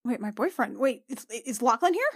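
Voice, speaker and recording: A woman playing a tabletop role-playing game, chatting casually and describing her character with halting, emotive reactions. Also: on the high side, sing-song, no room sound, clean studio sound.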